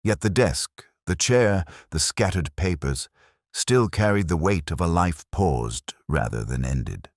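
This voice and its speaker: A man reads a scripted first-person detective story in a measured, solemn, reflective manner, as a literary narrator. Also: sits low, lively intonation, no room sound, clean studio sound. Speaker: audiobook-style narrator.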